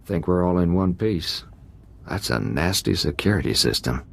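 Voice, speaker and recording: An actor playing a rough, easygoing gang thug in a video game, clipped and casual with cheerful menace. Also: male voice, low voice, expressive, moderate pace, full character voice. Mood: wry. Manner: clipped casual phrasing, deferential to the boss.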